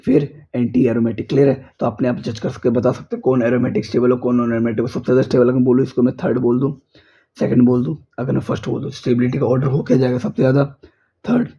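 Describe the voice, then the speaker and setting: Hoarse male teacher. Husky, gravelly, very dark tone. Exam-prep lecture pace with constant check-ins. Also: mid-range voice. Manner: repeats checks like "clear?" and "okay?". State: hoarse from a cold. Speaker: chemistry teacher. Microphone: speaker's mic is faulty.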